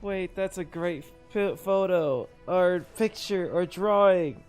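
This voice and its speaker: A man's voice: high-pitched, slow, faint music behind. A man giving casual, effusive game reaction commentary as host, emotive and repeating phrases for emphasis.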